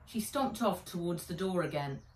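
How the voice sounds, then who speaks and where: A middle-aged woman author reading her children's story aloud, deliberate and dramatic, recorded in a room at home. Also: on the low side, animated, far from the mic. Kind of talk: expressive children's story narration. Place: indoors, living room or garden room at home. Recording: roomy, echoing home recording.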